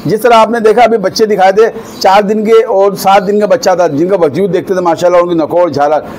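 High-voiced man touring a goat farm. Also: steady tone, a little fast, darkish tone, a little hiss or hum. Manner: enthusiastic, repeats blessings often, salesy. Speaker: vlogger visiting a goat farm. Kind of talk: walk-through vlog commentary with a seller.

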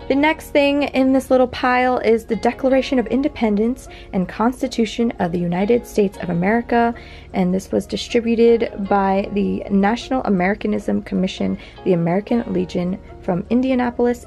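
A young woman talking through her collection at home, chatty and meandering, full of little fillers. Her voice sits mid-range with a gravelly fry. Faint music plays under her. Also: medium pitch, moderate pace. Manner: chatty, rambling, fillers and soft laughs. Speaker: vintage collection vlogger. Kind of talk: casual show-and-tell narration. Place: home near a big airport.

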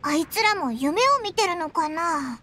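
Super high squeaky little anime game fairy, brash n excitable, talks blunt, bit of noise behind. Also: female voice, unhurried, full character voice. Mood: inquisitive. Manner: blunt boyish casual speech, exclaiming. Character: small, squeaky, excitable floating fairy companion, brash and curious. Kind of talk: anime video game voice acting.

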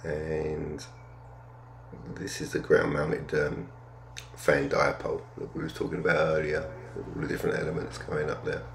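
An older man talking casually through a hobby vlog, explaining things in understated, hesitant, piecemeal phrases with a gravelly voice. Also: very deep voice, monotone, normal speed, slight background noise. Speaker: amateur radio hobbyist vlogger.

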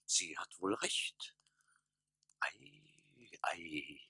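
An actor playing a pompous, henpecked town mayor with theatrical radio play delivery. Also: male voice, low-pitched, very animated, drawn-out words, gravelly, pushed and bright, husky, dry recording, full character voice. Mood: cowed. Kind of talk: stage-like radio play acting.

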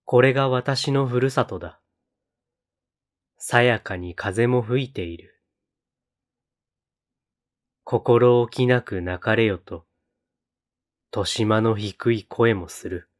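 A man reciting poetry in a solemn style, with measured, deliberate phrasing and pauses between lines. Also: mid-range voice. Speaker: poetry reader on a read-aloud channel.

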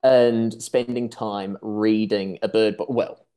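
A young man from New Zealand, raised in Tasmania, giving articulate, measured answers as a podcast guest over a video call. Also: fairly low pitch, fairly even intonation, dark tone, clear, resonant tone. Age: young adult. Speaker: guest, seabird researcher. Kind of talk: conversational interview answers. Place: room at home, video call.

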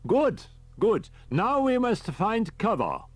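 A man voicing an excitable, precise male professor in an audiobook, speaking formally and deliberately. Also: sits high, no room sound, voice pushed up, heavily acted. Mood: businesslike.

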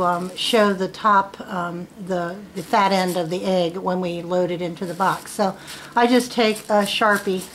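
A woman's voice: mid-range voice, a little hiss or hum, poor audio. A middle-aged woman giving a casual, plain-spoken step-by-step demonstration at a table indoors. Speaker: farmer giving a how-to demonstration. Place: indoors at a wooden table in a home.

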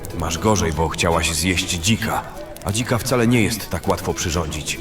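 A man's voice: low voice, moderate pace, over music, lightly acted. A man playing a brash, greedy fantasy adventurer in an audio drama, talking in a coarse, boastful, grumbling way. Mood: casual.

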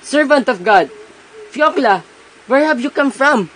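Someone doing a pious old peasant uncle for a radio play, gently scolding, folksy, bit noisy recording. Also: high-pitched, lively intonation, measured pace. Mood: alarmed. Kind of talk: amateur radio play reading. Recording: noisy amateur home recording.

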